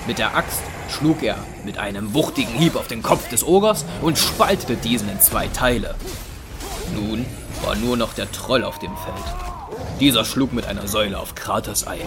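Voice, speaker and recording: A man narrating a scripted dramatic story in a measured, deliberate way. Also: mid-range voice. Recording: music under the voice.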